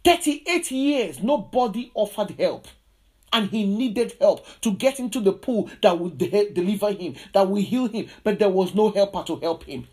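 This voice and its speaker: Woman, preacher, charismatic prayer style, emphatic, insistent, repetitive declarations, mid-pitched voice. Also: animated, normal speed, mellow.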